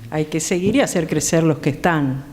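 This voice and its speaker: A woman's voice: sits low, normal speed, a little mellow, a little hiss or hum. Heard in a hall with long reverb, a woman with a Rioplatense Argentine accent takes part in a panel talk. She is conversational and slightly tentative, reshaping her sentences as she goes. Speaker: panel guest. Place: theatre, speaking on stage.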